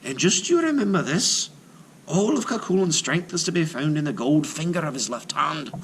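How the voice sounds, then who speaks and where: A man voicing a shrewd, bold wife in a folktale, brisk, coaxing and sure of herself. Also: a little high, sing-song, fairly bright tone, slight background noise, pitched above the performer's own voice. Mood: urgent. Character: shrewd, bold giant's wife, calm and teasing.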